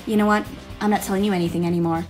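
A woman's voice: medium pitch, fairly even intonation, rapid-fire, a little mellow, faint music behind, natural voice, rough recording. A woman playing a sarcastic, defensive, indignant teenage girl in a comic fan dub.

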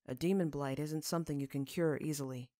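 A woman voicing a gentle, weary, earnest father in a story reading, speaking in a measured way. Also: low voice, steady tone, no echo, clean studio sound, lightly acted. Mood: grave.

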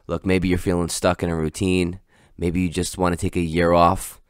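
A man's voice: low-pitched, flat delivery, normal speed, smooth, no echo, clean studio sound. An American man hosting a podcast, reading an upbeat intro and asking interview questions. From United States. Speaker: podcast host.

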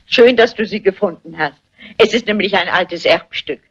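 Shrewd old lady sleuth: husky, brisk, tart, on a dull vintage soundtrack. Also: medium pitch. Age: older. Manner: brisk, plain-spoken, unimpressed. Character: shrewd, unflappable, tart-tongued amateur sleuth working as housekeeper. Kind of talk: German crime film dubbing, theatrical dialogue.

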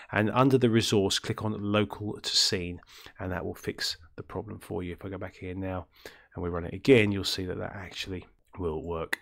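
A man with a deep, throaty voice from illness, talking through a coding tutorial in a rambling way, with many ums and self-corrections. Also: gravelly. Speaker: game development tutorial host. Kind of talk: screen-recorded coding tutorial.

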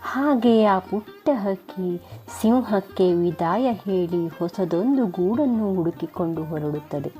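A woman's voice: medium pitch, a little slow, warm tone, soft background music. A woman narrating a moral story for children as a storyteller.

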